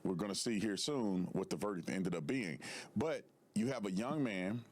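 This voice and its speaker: A man giving measured, slightly halting commentary in a clip played on a stream. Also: fairly low pitch, lively intonation, a little mellow. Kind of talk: explanatory commentary.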